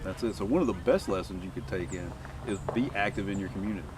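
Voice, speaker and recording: A man's voice: low voice, fast talker. A man hosting an interview, eagerly affirming his guest and summing up the lessons. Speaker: interview host. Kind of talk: casual interview. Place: outdoors at a rural gathering. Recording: distant mic in a noisy outdoor setting.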